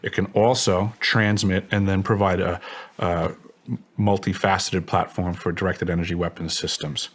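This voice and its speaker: Male interview guest, low-pitched, assertive and technical in his phrasing, laying out claims as testimony. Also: little pitch movement, moderate pace. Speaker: interview guest, former contractor.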